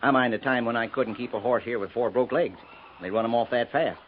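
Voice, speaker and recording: An American actor playing a lonely old frontier horse keeper in a 1950s radio western, talking in a folksy, rambling way. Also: male voice, mid-range voice, a little fast, natural voice. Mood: wistful rambling. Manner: folksy, rambling, hesitant drawl. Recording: noisy, tinny 1950s radio recording.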